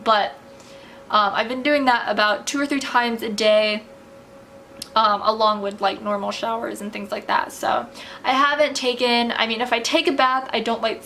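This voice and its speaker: A young woman chats to her camera at home, with a slightly high voice and a loose, rambling way of talking full of fillers. Her voice sounds a bit tired from talking. A faint hiss sits under the recording. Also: sharp tone. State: voice tiring from talking. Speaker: vlogger. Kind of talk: casual chat to camera.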